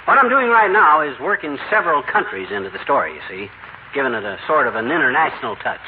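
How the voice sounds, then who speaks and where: Man playing excitable folksy braggart on old radio. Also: on the high side, fast talker, phone-quality sound, lightly acted. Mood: breezy, folksy. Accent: American. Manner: folksy, boastful, slangy. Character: boastful, folksy husband fancying himself a great author. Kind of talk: 1940s radio comedy acting. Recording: noisy, thin old radio recording.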